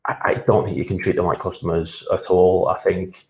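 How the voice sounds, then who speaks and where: A man's voice: low-pitched, level tone, fairly quick. A man speaking as a panel guest, conversational and emphatic about his views. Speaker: panel guest, talent acquisition manager. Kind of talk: panel discussion. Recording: echoey room.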